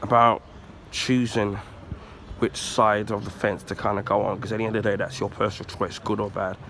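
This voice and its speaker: A man from the UK with a husky, congested voice from the flu, giving a casual rambling rant with many ums and throat clearing. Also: on the low side, little pitch movement, brisk, fairly bright tone, a little hiss or hum. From United Kingdom. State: husky, recovering from flu, blocked nose. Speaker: YouTuber.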